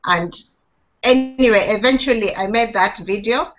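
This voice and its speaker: A woman's voice: on the high side, normal speed, music in the background. A woman speaking hesitantly with many restarts on a live video call, sharing her experience. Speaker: online marketing member. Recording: dull lo-fi video call audio.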